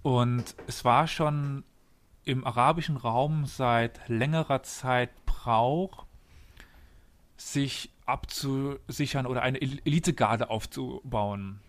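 A man's voice: medium pitch, takes it slowly. Man casually explaining history. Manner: explains step by step and quizzes his listeners. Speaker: podcast host presenting history.

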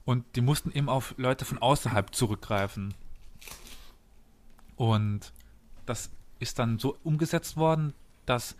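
A man hosting a podcast, casually explaining history step by step and quizzing his co-host and listeners. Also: medium pitch.

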